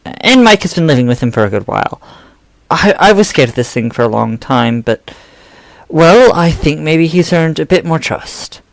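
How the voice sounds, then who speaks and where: A man's voice: a little high, expressive, moderate pace, creaky, no room sound. A man playing a cautious, kindly young friend in an audio drama, speaking in a hesitant, tentative way. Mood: reassuring.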